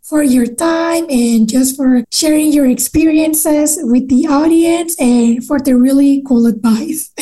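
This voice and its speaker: A woman's voice: high voice, takes it slowly, warm tone. A young woman with a Latin American Spanish accent, hosting a casual interview podcast. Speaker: podcast host.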